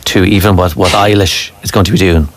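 A man with a somewhat low voice hosts an interview. He asks his questions in a relaxed, conversational way, prompting his guests to say more. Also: fairly quick, a little hiss or hum. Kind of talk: broadcast interview.

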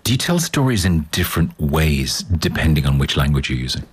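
A man interviewing a novelist, asking questions with halting, stumbling phrasing. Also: very deep voice, very animated, slightly rough.

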